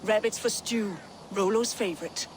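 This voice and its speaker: An actress playing a proud, bitter Viking shield-maiden in a video game, with a defiant, clipped delivery. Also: female voice, medium pitch, moderate pace, a little hiss or hum, close to an everyday voice. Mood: proud, guarded. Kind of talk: video game dramatic acting.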